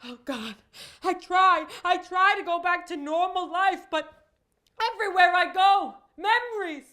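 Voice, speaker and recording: Woman playing traumatised female amateur actor, high-pitched, melodramatic, haunted, mock-confessional comedy monologue. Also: a little slow, bright, forceful tone, full character voice. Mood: anguished. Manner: overwrought, haunted, theatrical.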